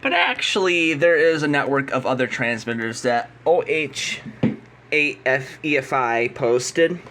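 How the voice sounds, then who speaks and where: An American man speaks off the cuff in a bright, forceful voice, arguing his point bluntly and emphatically. He sounds like a combative hobbyist explainer, recorded with noticeable noise in the background. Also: mid-range voice, animated, a little slow. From United States. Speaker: radio signal hobbyist. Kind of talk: unscripted opinionated explainer rant.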